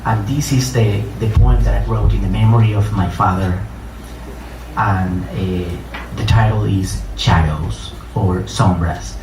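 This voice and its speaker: A middle-aged man with a Colombian Spanish accent speaks in a fairly low voice at a public reading. His delivery is halting and hesitant. He sounds far from the microphone in an echoey room. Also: fairly even intonation. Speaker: writer and poet giving a reading. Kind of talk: public reading with personal introduction. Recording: roomy, distant mic, clear noise.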